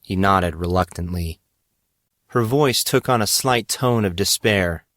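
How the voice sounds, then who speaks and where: Low-voiced man narrating fiction. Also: a little slow, a bit bright, no echo.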